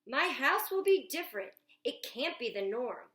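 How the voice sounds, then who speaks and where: A woman's voice: high-pitched, big pitch swings, moderate pace, a bit bright, a little hiss or hum. A woman reading a storybook's lines as an imaginative young boy who proudly shows off his dream house, in bouncy rhyming verse with stretched words. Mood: proud, excited. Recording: echoey room.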